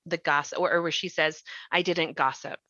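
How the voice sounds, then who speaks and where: A woman's voice: low voice, steady tone, a little fast, pushed and bright, dry recording, muffled recording. A woman hosting a casual podcast discussion, explaining her points conversationally. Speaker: podcast host and writer.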